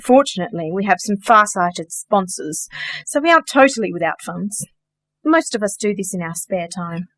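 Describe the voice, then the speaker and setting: A woman's voice: mid-range voice, lively intonation, moderate pace, a bit bright, no echo. A woman playing a genteel, dryly witty sorcerer in a radio play, polite, precise and matter-of-fact. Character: composed, genteel, dryly witty female sorcerer, organizer of magic workers.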